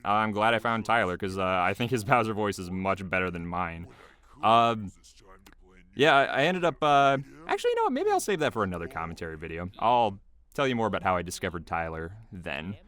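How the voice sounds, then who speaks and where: A man's voice: fairly low pitch, very animated, brisk, fairly bright tone, clear, resonant tone. A man giving casual behind-the-scenes commentary as a video creator, rambling loosely in a small homemade booth.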